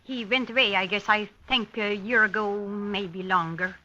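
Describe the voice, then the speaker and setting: An actress playing a bitter, hesitant abandoned wife in a 1950s radio drama, speaking in short, halting phrases. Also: female voice, mid-range voice, steady tone, sharp tone, close to an everyday voice. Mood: hesitant, recalling. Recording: dull lo-fi vintage radio broadcast.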